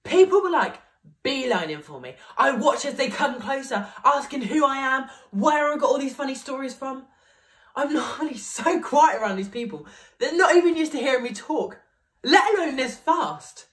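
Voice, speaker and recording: A young man playing an excitable young man who tells a rambling story about a party, full of "like". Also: very high-pitched, normal speed, voice pushed up. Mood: buoyant. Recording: voice picked up from a distance.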